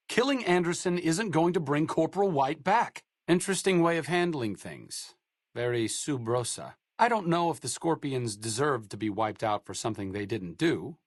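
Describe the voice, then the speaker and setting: A man's voice: mid-range voice, no room sound. An actor playing a bookish, dry-witted male doctor, speaking with sarcastic, literate quips in video game dialogue.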